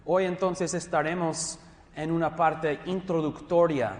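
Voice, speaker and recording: A man with an American English accent teaches a theology class, his voice sitting a little high. He is friendly and self-deprecating, often stopping to restart a word. The sound rings with the long reverb of a large hall. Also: steady tone, measured pace. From Southern California, USA. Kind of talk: classroom lecture.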